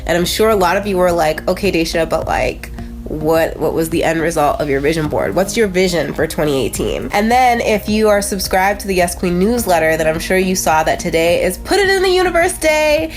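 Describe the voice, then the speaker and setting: A woman's voice: fairly low pitch, lively intonation, brisk, faint music behind. An American woman with a nasal, congested voice, hosting a casual motivational vlog in a chatty, conversational way with frequent asides. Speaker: host of a motivational video channel.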